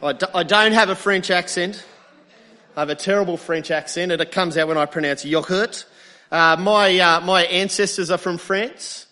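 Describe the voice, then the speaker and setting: An Australian man in his thirties delivers a sermon in a light, high-pitched voice. He opens with dry, self-deprecating jokes, then settles into earnest teaching and prayer. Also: normal speed, sharp tone. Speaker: preacher.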